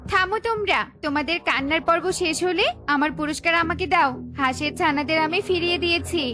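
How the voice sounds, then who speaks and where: A woman's voice: very high-pitched, fast, bright, forceful tone, soft background music. A woman voicing a greedy, bossy female bird auntie in a cartoon, with a scolding, demanding way of talking.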